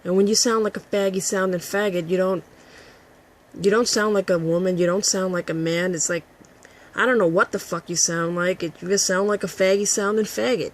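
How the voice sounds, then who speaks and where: A woman with a raspy, husky voice, ranting combatively and with a lot of profanity at a critic in a vlog. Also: mid-range voice, steady tone, brisk. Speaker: vlogger. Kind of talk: addressing a critic to camera.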